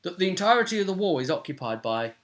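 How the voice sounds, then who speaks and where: A man's voice: high-pitched, big pitch swings, quick pace. A man in his twenties casually recapping a tabletop role-play session as game master, telling it with dramatic flair in a room at home. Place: small furnished room at home, indoors.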